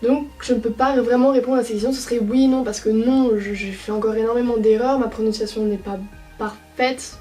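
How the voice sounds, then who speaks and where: A young woman from France chats through her thoughts in a hesitant vlog, stopping often to restart her sentences. Her voice sits a little high yet sounds mellow. She is heard from some distance from the mic, with faint music playing underneath. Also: fairly even intonation, a little fast. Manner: hesitant, many fillers and restarts. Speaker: au pair vlogger. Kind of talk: casual reflective monologue.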